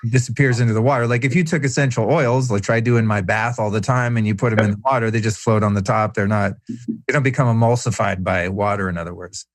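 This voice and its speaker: A man's voice: fairly low pitch, fairly even intonation, quick pace, dry recording. A man hosting a podcast, asking curious, chatty questions in casual conversation. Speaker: podcast host.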